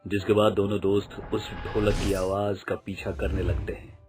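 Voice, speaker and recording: A man's voice: fairly low pitch, little pitch movement, normal speed, a little mellow. Male storyteller with music behind. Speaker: narrator. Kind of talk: scripted story narration.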